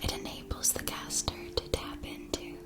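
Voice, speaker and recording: A woman playing an elegant, gracious witch shopkeeper in a whispered ASMR fantasy roleplay, speaking softly with refined, careful, formal phrasing. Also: normal speed, lightly acted. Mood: hushed, enchanted. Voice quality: soft breathy whisper.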